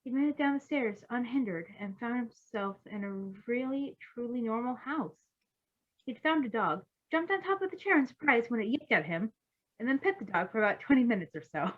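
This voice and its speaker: Woman reading a story out loud, expressive and informal, bit of a dull lo-fi recording. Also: on the high side, brisk. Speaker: amateur fan fiction reader. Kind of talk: expressive story narration with casual asides.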